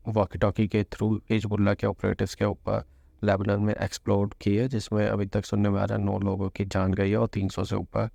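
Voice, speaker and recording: A man's voice: fairly low pitch, gravelly, a little mellow, dry recording. A man presenting an informal news explainer, mixing in English terms as he goes. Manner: mixes Hindi with English terms.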